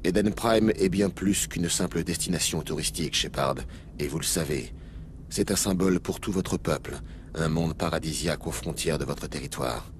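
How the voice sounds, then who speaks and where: A man's voice: extremely low pitch, normal speed, slight background noise. A man voicing a solemn, authoritative alien special agent in a video game. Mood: grave, probing.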